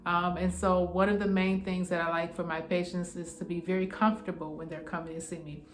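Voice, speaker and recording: A woman's voice: on the low side, little pitch movement, a little fast, echoey room. A woman in her forties with a New York accent, an acupuncturist explaining her sessions in a casual, reassuring way and often checking in with "okay?". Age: 40s. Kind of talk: casual explainer talking to camera.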